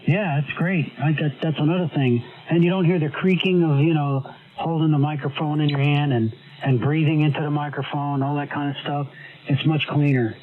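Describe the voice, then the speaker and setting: A man on a ham radio link, chatting casually and relaxed about his microphone setup. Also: on the high side, brisk. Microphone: boom mic with foot pedal. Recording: tinny radio signal with background chatter.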